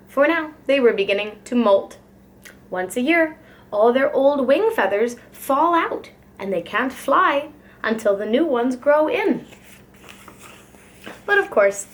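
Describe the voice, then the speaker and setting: A woman reading a children's picture book aloud like a preschool teacher, expressively and with care for young listeners. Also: a little high.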